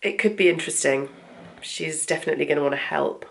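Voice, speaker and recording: A woman's voice: sits low, fast, vocal fry. A young woman vlogging from her home kitchen, chatting casually while she cooks, with a stuffy, bunged-up voice from a cold. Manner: rambling, conversational, talks while cooking. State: congested with a cold. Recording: echoey room, faint noise.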